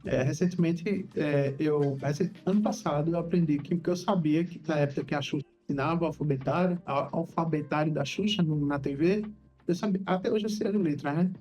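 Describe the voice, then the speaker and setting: Male podcast host, slightly higher voice, warm tone, muffled lo-fi sound. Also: faint music behind. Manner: slow, hesitant, with many fillers. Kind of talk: casual interview conversation. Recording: dull lo-fi audio.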